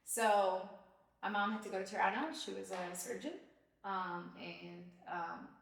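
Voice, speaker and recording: A woman's voice: mid-range voice, steady tone, a little slow, gravelly. Woman from Albania recalling her childhood. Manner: reflective storytelling with frequent fillers. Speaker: podcast host, mother of co-host. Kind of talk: casual personal conversation. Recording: roomy, distant from the mic.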